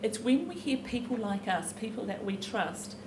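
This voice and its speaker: A woman giving an informal public speech as a campaigner, explaining things in a halting, conversational way. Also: on the high side, animated. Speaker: campaign speaker at a public meeting. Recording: noisy, echoey live event recording.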